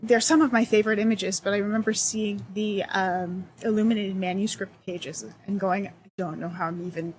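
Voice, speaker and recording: A woman's voice: medium pitch, steady tone, normal speed, hardly any pauses. A woman talking as a guest in a casual interview, thoughtful and searching for her words. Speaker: accessibility consultant, guest.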